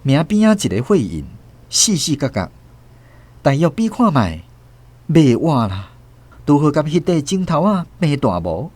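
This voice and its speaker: Man narrating with very animated, swooping pitch. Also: measured pace, long pauses. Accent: Taiwanese. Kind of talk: dramatic scripted narration of a radio drama.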